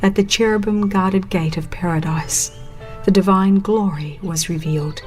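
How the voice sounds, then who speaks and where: A woman reading a religious book as a solemn, measured audiobook narrator with dramatic phrasing. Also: medium pitch, fairly even intonation, darkish tone, faint music behind.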